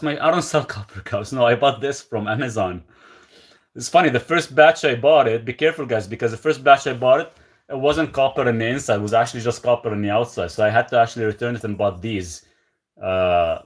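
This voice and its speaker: A man from Lebanon hosting a live stream from his rural home, casually reading viewer questions aloud and answering them. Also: medium pitch. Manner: relaxed, rambling, reads comments aloud then answers. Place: rural property, country home.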